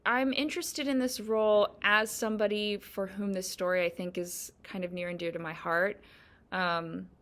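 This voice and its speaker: A woman from South Korea, an actor introducing herself and speaking thoughtfully with pauses. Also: mid-range voice, steady tone, a bit bright. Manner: thoughtful, pausing with ums. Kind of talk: casual self-introduction.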